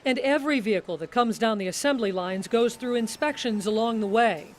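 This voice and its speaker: A woman's voice: on the high side, brisk, noisy background. A middle-aged woman, a TV news reporter, delivering scripted news narration.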